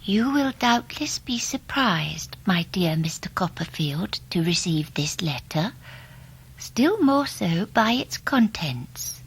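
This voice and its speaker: An actress playing a genteel, devoted middle-aged wife in a period radio drama, with an English accent and a florid, confiding, theatrical delivery. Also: female voice, medium pitch, gravelly, husky, exaggerated voice, poor audio.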